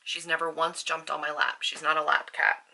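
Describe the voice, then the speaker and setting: A woman talking in a casual, upbeat, chatty vlog style, informal and full of quick asides. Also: low voice, little pitch movement, creaky, pushed and bright. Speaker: book vlogger and author. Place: indoors at a desk at home.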